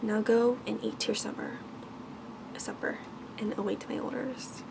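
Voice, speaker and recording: A woman voicing an angry, commanding male beast in a bedtime storybook, with stern, formal speech, reading softly for ASMR. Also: on the high side, flat delivery, a little slow, creaky, noisy background.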